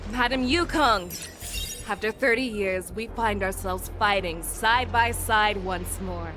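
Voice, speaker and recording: A woman's voice: medium pitch, takes it slowly, music in the background, lightly acted. A woman playing a bold, authoritative general in an anime-style video game. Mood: determined. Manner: authoritative, resolute. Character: bold commanding woman general rallying her knights.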